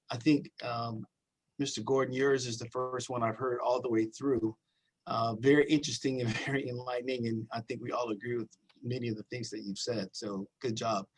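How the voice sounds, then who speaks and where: A middle-aged man speaks in an average-pitched voice, giving loose welcoming remarks on a video call. He rambles and stumbles over repeated words. He is friendly and unscripted. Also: poor audio. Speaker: university dean, guest speaker.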